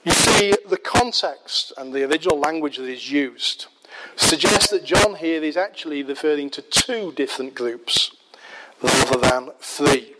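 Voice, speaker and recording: A man with a Yorkshire accent preaching a measured, explanatory sermon, often pausing with "uh". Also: fairly high pitch, lively intonation. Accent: Yorkshire English. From Yorkshire, England. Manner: measured, explanatory, with frequent "uh" fillers. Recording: loud background noise.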